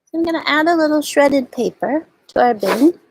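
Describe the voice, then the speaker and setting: A woman hosting a storytime for young children, explaining and reading aloud in simple words with little questions, in a slightly husky voice. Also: high voice, animated, normal speed, slight background noise, low-quality recording. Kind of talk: show-and-tell and read-aloud for young children.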